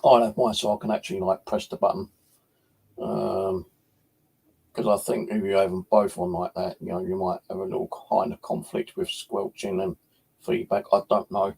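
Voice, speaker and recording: A British man with a fairly low voice talks casually to a livestream audience. His tone is husky and full of creak. He rambles informally, hesitating as he goes. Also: little pitch movement, moderate pace, darkish tone. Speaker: paranormal livestream host.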